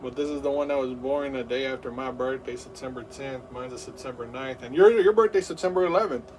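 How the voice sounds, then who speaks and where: A man's voice: mid-range voice, a little fast, recorded from a distance, background noise. A man in his thirties hosting a live game stream, rambling with lots of fillers and hyped play-by-play. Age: 30s.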